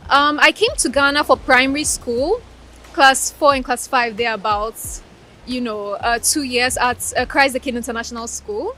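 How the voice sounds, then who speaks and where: A young woman from Ukraine, a native Russian speaker, talks about her studies in an articulate, measured way. Her voice sits a little high. She answers in an interview. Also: pushed and bright, slight background noise, low-quality recording. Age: 20s. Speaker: guest, law graduate.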